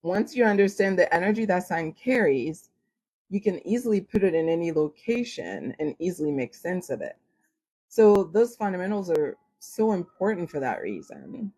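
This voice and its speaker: A woman's voice: on the low side, sing-song, normal speed, a little mellow, slightly rough, low-quality recording. A woman whose first language is French, hosting an astrology livestream and chatting casually with viewers, full of "like" and "you know". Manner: self-described formal when speaking English.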